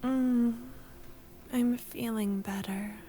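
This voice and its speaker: A woman playing a tender, wistful young childhood friend in a soft-spoken, breathy ASMR audio roleplay, often close to a whisper. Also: on the high side, fairly even intonation, a little hiss or hum. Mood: tender, comforted. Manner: slow, tender, hesitant.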